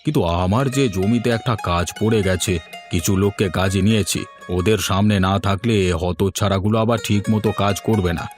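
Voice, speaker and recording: A hearty village father-in-law played by a man in a cartoon, with a fairly low voice. He is chatty and hospitable, with soft music in the background. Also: fairly quick, lightly acted. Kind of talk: cartoon voice acting.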